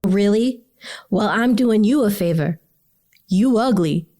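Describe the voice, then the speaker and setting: A woman playing a bookish, sarcastic teenage schoolgirl in an audio drama, with slangy, expressive delivery. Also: mid-range voice, slow pace, soft background music. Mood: annoyed.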